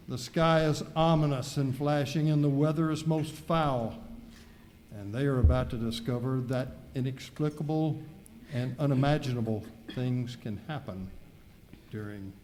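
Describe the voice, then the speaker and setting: A man's voice: fairly high pitch, darkish tone, natural voice. A man playing a theatrical, mock-ominous narrator in an amateur live radio skit, recorded in an echoey, noisy hall. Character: ominous campy narrator of a spooky western spoof.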